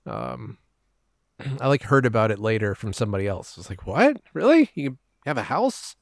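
A man's voice: medium pitch, huge pitch swings, moderate pace, dry recording, studio quality. A man chatting casually as a podcast guest, acting out his own reactions as he tells stories. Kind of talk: casual chat.

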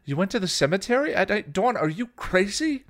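A man's voice: high voice, big pitch swings, fairly quick, pitched above the performer's own voice. A man voicing a young woman, an emotional, protective older sister who scolds, pleads and stammers in a dramatic story reading. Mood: alarmed, scolding.

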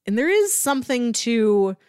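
A woman's voice: on the high side, sing-song, measured pace, darkish tone, clean tone, no room sound. Woman chatting casually, close dry sound. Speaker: podcast co-host. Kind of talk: casual chat about a TV series.

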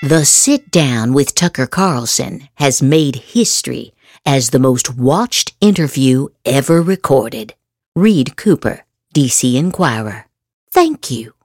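A woman's voice: very deep voice, lively intonation, slow, a little mellow. A woman host reading social media posts aloud in a theatrical, emphatic way, drawing out her words. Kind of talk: dramatic verbal replay of written posts. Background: music.

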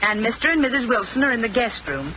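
A woman's voice: fairly high pitch, sing-song, fast. An actress playing a patient, fussing middle-aged wife and mother in a 1940s radio sitcom. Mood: brisk, hushing. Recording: muffled, noisy old radio recording.

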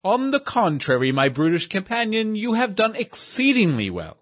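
A man voicing a portly, pompous gentleman who speaks in grand, over-formal phrases. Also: high voice, huge pitch swings, normal speed, pitched above the performer's own voice, full character voice.

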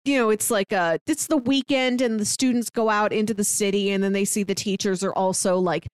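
A woman's voice: medium pitch, fairly quick, dry recording. Woman retelling scenes, dry close sound. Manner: lively retelling with frequent fillers. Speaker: podcast co-host. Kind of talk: casual chat.

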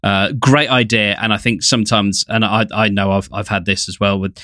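A man's voice: fairly low pitch, steady tone, moderate pace, bright, forceful tone, dry recording, studio quality. A man co-hosting a podcast, chatting casually in a thoughtful, discursive way with restarted sentences. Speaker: podcast host.

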